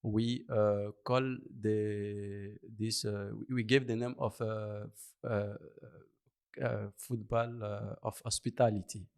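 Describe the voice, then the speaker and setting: A man from Ivory Coast with an Ivorian French accent, a native Bambara speaker, answering interview questions in halting English and searching for words. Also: fairly low pitch, flat delivery, darkish tone, clear, resonant tone. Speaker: interview guest. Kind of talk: conversational interview.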